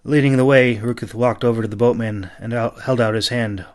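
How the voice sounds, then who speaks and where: A man's voice: mid-range voice, fairly even intonation, fairly quick. A man reading scripted fantasy narration as the author of an audiobook.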